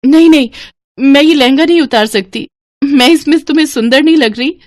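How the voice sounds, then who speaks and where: A woman's voice: sits high, brisk, darkish tone, no echo, studio quality, voice pushed up, close to an everyday voice. A woman voicing a coy, deceitful young witch bride in a dramatised story, plaintive and coaxing. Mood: coy insistence. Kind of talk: dramatised Hindi moral story.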